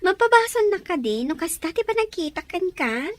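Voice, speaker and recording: A woman's voice: very high voice, sing-song, moderate pace, no room sound. A woman acting a cheerful, nosy, teasing friend in a radio drama, with an Ilocano accent. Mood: teasing, gleeful.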